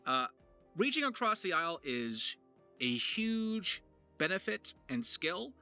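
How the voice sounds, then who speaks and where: A man narrating an explanatory vlog as a creator and voice actor, with emphatic, deliberate phrasing and dramatic stresses. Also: high voice, sing-song, pushed and bright. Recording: music behind the voice. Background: music.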